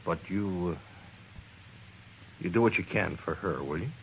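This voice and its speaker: Radio western role: bitter jailed outlaw. Low, guarded, defiant, halting. Dull vintage sound with faint noise. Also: male voice, animated. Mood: bitter, troubled. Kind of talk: 1950s radio western acting. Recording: dull, noisy old radio recording.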